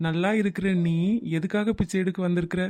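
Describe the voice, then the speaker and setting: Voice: mid-range voice, fairly even intonation, fast, a little mellow, close to an everyday voice. A performer voicing an earnest young male beggar who cannot walk in a cartoon, speaking in a plaintive, pleading way. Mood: reproachful. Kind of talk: cartoon voice acting.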